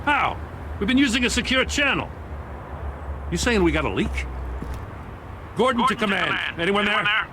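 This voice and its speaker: A man's voice: sits high, expressive, brisk, pauses often, noisy background. An actor playing an urgent, alarmed middle-aged male police officer in a video game. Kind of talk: dramatic video game acting.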